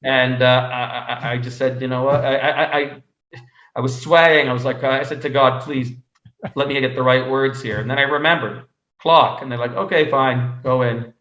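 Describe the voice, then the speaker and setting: Echoey, halting man telling personal stories. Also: medium pitch, steady tone, fairly bright tone. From Canada (Montreal). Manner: halting, repeats words as he goes. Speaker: interview guest. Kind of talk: conversational personal storytelling. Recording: roomy echo, slightly dull sound.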